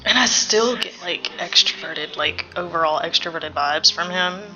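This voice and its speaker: A woman giving casual game reaction commentary, creaky-voiced, analytical and sweary as she thinks aloud. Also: on the low side, normal speed, pushed and bright, soft background music, poor audio.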